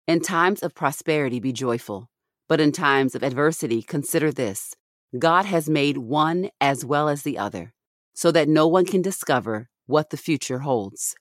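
Woman reading bible passages on a podcast, slow solemn delivery, close dry recording. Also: low-pitched, lively intonation, dry recording. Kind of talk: measured scripture reading.

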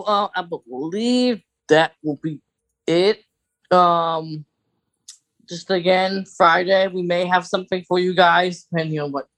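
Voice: mid-range voice, a bit bright. An American podcast co-host chatting casually in an informal, rambling, conversational way.

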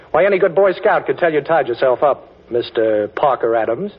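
An actor playing a quick-witted, confident amateur detective in a 1940s radio drama, breezy and wry, on a dull old recording. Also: male voice, fairly high pitch, expressive, slightly rough. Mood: cool, wry.

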